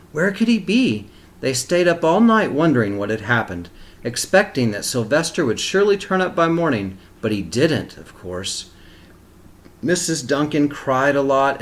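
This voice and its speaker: A man reading a picture book aloud to young children as a teacher, pausing for simple explanatory asides. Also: mid-range voice.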